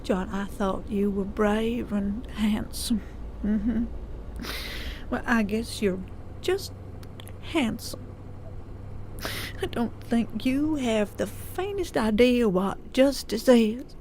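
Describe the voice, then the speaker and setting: A woman's voice: on the high side, mellow, a little hiss or hum, poor audio. A woman voicing a wounded, theatrical country mother, stubborn and sarcastic, in an audio drama. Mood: hurt, sarcastic. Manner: drawn-out, dramatic, hesitant pauses and sighs.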